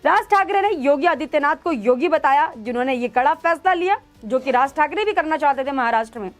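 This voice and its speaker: High-pitched woman presenting news commentary. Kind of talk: news commentary, explaining to viewers. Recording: music under the voice. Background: background music.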